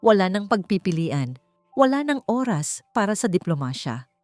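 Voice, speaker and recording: A woman with a Filipino accent narrating a scripted dramatic story in an expressive, theatrical manner. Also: medium pitch, moderate pace, no room sound, studio quality, faint music behind. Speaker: audiobook narrator.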